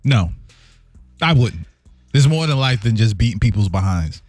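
Deep-voiced radio co-host man. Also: very animated, normal speed, a little mellow, dry recording, soft background music. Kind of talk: morning radio banter.

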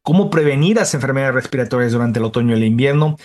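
A man's voice: mid-range voice, expressive, fast, darkish tone. A man hosting a health interview program, introducing topics and welcoming his guest in a chatty way. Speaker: health show host.

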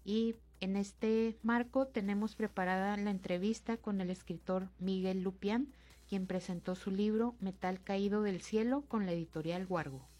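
Mellow female radio presenter. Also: mid-range voice, fairly even intonation, moderate pace. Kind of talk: scripted announcement.

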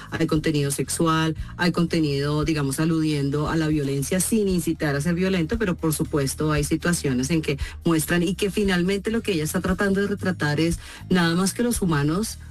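A Colombian woman, a voice actress, answering interview questions in an expressive storytelling way. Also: low voice, moderate pace, few pauses, soft background music. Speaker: voice actress guest.

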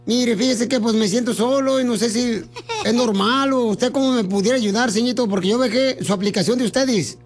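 A man playing a lonely caller with a Mexican accent in a comedy prank call, talking deadpan and absurd, breaking into giggles. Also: very high-pitched, brisk, slight background noise. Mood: joking.

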